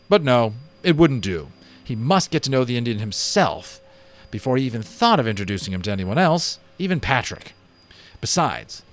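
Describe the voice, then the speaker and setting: A man reading a children's novel aloud at home, telling it expressively and dropping low and soft at the grim moments. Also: dry recording. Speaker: amateur voice actor and audiobook reader.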